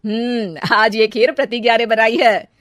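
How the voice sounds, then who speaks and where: A woman's voice: a little high, steady tone, moderate pace, a bit bright, no echo, studio quality, close to an everyday voice. A woman voicing a kindly, encouraging mother-in-law in a cartoon family story. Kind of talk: cartoon family drama acting.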